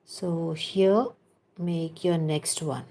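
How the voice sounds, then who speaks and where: Slow, low-voiced woman teaching crochet. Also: steady tone, mellow. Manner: counts stitches aloud and gives patient step-by-step instructions; chatty asides with viewers. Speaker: crochet teacher and livestream host. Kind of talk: live crochet tutorial.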